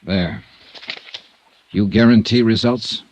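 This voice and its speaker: An actor playing a tough, wry insurance investigator in a 1950s radio drama, terse and persistent. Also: male voice, on the low side, slow, darkish tone, a little hiss or hum. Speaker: investigator and narrator.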